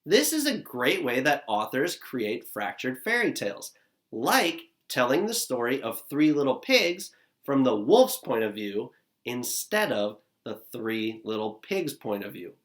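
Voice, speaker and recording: A man's voice: mid-range voice, very animated, a little slow, a bit bright. A man in his thirties, a teacher recording an upbeat lesson for young children, talking straight to them from his living room. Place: living room at home, indoors. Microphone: camera at desk distance, seated facing it.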